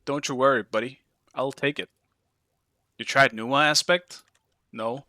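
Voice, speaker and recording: A Danish-accented man streams a game and chats with his viewers in a bright, forceful voice. He comes across as curious and talkative, with casual swearing. The sound is dry and close. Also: mid-range voice, fairly even intonation, unhurried, no echo. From Denmark. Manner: chatty, curious, swears casually, talks to chat. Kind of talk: casual live-stream chat while playing.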